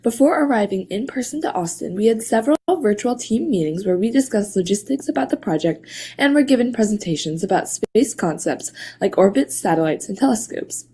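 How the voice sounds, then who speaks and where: A woman's voice: mid-range voice, lively intonation, a little fast, dark tone, husky. A teenage girl student reading an explanatory, listing part of a science presentation. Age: teens.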